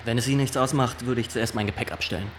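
A man's voice: mid-range voice, fast talker, a little hiss or hum, close to an everyday voice. An actor in a German radio drama playing a stiff, officious young male inspector who questions people formally and insistently. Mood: formally polite. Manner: formal, pedantic, insistent interrogating.

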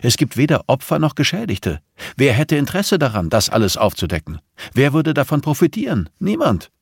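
A man's voice: medium pitch, wildly swooping pitch, a little fast, gravelly, breathy edge, no echo, studio quality, pitched above the performer's own voice, full character voice. A man voicing a bitter, vengeful, hate-filled woman in an audiobook, with a cutting, insistent manner. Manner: insistent, cutting, sharply emphatic.

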